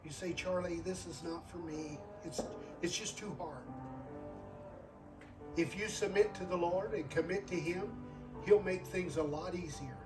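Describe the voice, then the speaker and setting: A man in his sixties preaches at a live service in a high voice. His delivery is earnest, circling back over phrases to drive them home. He sounds somewhat far from the microphone, with music clearly behind him. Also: unhurried. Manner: earnest, repeats phrases for emphasis, calls for amens. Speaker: guest preacher. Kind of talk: sermon with altar call.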